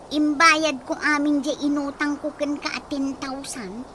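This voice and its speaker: Woman, high pitch, embarrassed mumbling, radio comedy drama, noisy recording. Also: flat delivery, a little slow, sharp tone. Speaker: woman with new dentures. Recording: noisy background hiss.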